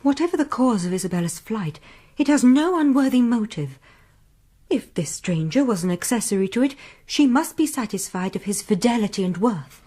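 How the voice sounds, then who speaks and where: A woman's voice: on the high side, lively intonation, normal speed, warm tone. An actress playing a self-possessed young noblewoman in a period radio drama, formal and commanding with her maid. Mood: brisk, firm.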